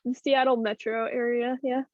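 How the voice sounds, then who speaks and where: A woman's voice: fairly high pitch, little pitch movement, moderate pace, dry recording. Chatty woman host on a dull video call. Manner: chatty, laughs easily. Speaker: show host. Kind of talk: casual chat.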